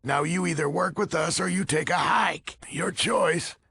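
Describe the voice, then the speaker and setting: A weary, gruff old American leader of a military order in a video game. His voice is very gravelly and husky, pitched a little high for a man, with a hard forceful edge. He talks in a blunt, folksy grumble, recorded close and dry. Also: unhurried, heavily acted. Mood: earnest, firm. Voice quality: gravelly, raspy. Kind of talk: video game character dialogue.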